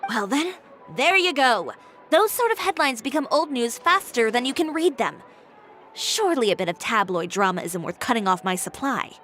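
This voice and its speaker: A woman's voice: on the high side, sing-song, normal speed, fairly bright tone, soft background music. A woman voicing a proud, composed female fashion designer with a cool, dry, self-assured delivery in a video game.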